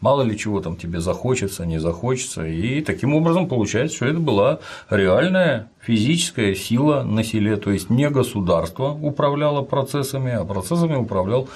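A man's voice: mid-range voice, animated, a little fast, hardly any pauses, darkish tone, husky. A man interviewing a guest about history, speaking emphatically with sarcastic asides.

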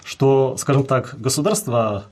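A man's voice: medium pitch, measured pace. A man answering as a history guest, explaining at length in a lecturing way with many fillers.

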